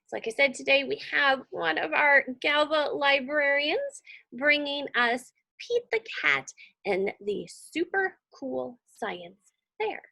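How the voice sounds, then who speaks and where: A woman's voice: sits high, lively intonation, slow, gravelly, pushed and bright, no echo. A woman hosting a read-aloud, introducing it in a casual, chatty way. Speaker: arts council president hosting a read-aloud.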